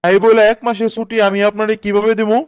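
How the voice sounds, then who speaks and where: A man's voice: sits high, flat delivery, fast, clean tone, no room sound, studio quality, natural voice. A man voicing a skeptical, grudging office boss in a cartoon, questioning incredulously in a colloquial Bangladeshi accent. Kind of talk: cartoon drama dialogue.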